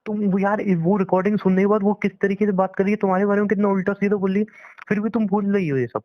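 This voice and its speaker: Insistent young man, slightly high voice. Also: fairly even intonation, fast, dull audio. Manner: insistent, pleading, repeats himself. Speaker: boyfriend on a phone call. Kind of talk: argumentative private phone conversation.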